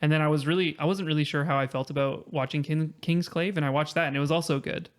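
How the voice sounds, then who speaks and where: A man's voice: on the high side, steady tone, quick pace. A man chatting informally on a review podcast as an enthusiastic fan. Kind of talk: casual review chat.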